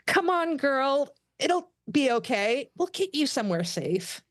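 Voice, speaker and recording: Woman playing an excitable, high-pitched young alien lieutenant. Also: measured pace, no echo, clean studio sound. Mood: urgent. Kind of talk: amateur table read of animated sci-fi script.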